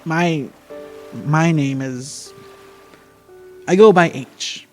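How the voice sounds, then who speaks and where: A man playing a wistful, frightened, terminally ill young person in an amateur radio drama, speaking in halting, repeated phrases. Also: on the high side. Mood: wistful, hesitant. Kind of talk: amateur radio theatre acting. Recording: music behind the voice.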